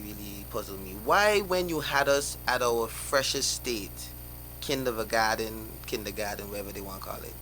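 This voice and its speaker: A man's voice: mid-range voice, pushed and bright, a little hiss or hum, rough recording. A young man, a guest on a live radio interview, talking passionately in rhetorical questions with many restarts.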